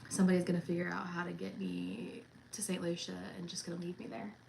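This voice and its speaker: A woman's voice: fairly low pitch, steady tone, quick pace, vocal fry, a little mellow, a little hiss or hum, low-quality recording. A woman in her thirties co-hosting a cruise livestream, chatting casually with her friend and teasing her with frequent laughter. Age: 30s.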